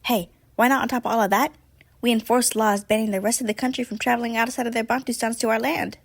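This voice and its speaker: A woman's voice: fairly high pitch, steady tone, fast talker, no room sound. A woman voicing a smug, gleefully cruel colonizer puppet with theatrical delivery in an amateur puppet show. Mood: scheming, gleeful.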